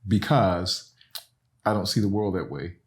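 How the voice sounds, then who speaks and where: Deep-voiced man explaining earnestly. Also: measured pace. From Alabama. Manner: earnest, repeats "right?" and "you know". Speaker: professor and podcast guest. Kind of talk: reflective conversational explanation.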